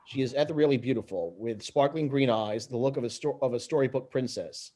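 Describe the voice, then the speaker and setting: A man's voice: medium pitch, a little fast, warm tone. A man reading screenplay stage directions aloud as narrator. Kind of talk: read-aloud script narration.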